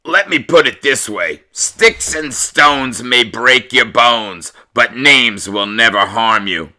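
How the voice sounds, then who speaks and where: Casting: male reader as a living television, fatherly and slick, bright salesman delivery, storybook character. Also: medium pitch, lively intonation, takes it slowly, full character voice. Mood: earnest advice. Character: smooth-talking, sales-pitching, fatherly television set come to life.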